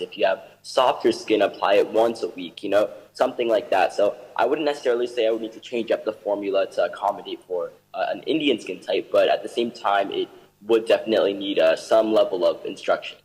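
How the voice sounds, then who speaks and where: A man's voice: on the low side, darkish tone, big-room sound, poor audio. A young man from Ethiopia answering interview questions over a video call, earnest and eager, with fillers. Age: young adult. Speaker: guest.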